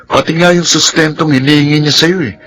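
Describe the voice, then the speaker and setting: An actor playing an exasperated, admonishing business partner in a Filipino radio drama, with a Filipino accent. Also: male voice, a little high, fairly even intonation, fairly quick, a bit bright, a little hiss or hum, natural voice. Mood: suspicious. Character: nagging, suspicious male business partner, worried family man.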